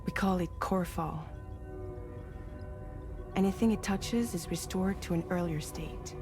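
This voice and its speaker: Compassionate crew woman in a game cutscene, explains calmly, lowish pitch, music playing behind her. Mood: measured explaining. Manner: measured, expository. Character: earnest, compassionate female crewmate explaining strange phenomena. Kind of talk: video game cutscene dialogue.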